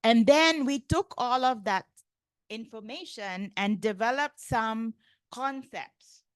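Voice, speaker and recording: A woman from India lectures at a conference in a chatty, loose way, scattering "like" and "you know" through her sentences. Her voice sits a little high. The sound is dry and close. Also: slow pace, smooth, no echo. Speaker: professor lecturing.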